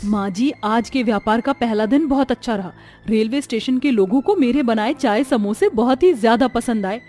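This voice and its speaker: A woman's voice: a little high, moderate pace, warm tone, natural voice. A woman voicing a hardworking young daughter-in-law in a moral story, hawking tea and samosas in sing-song vendor calls. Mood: earnest.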